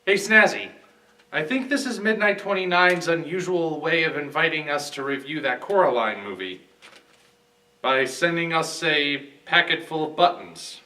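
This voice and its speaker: Bright, forceful man reviewing films, slightly distant. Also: fairly high pitch, lively intonation, normal speed. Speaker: guest film reviewer. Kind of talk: scripted review commentary.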